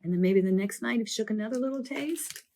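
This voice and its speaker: Croaky woman librarian with a cold. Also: fairly high pitch, quick pace, noisy background. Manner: chatty, lively storytelling delivery. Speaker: children's librarian. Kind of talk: presenting a library program and summarizing books.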